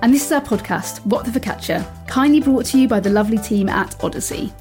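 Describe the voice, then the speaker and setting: Higher-voiced woman co-hosting a food podcast. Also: fast, a little mellow, soft background music. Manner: friendly, reads lines smoothly. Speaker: podcast co-host, food blogger.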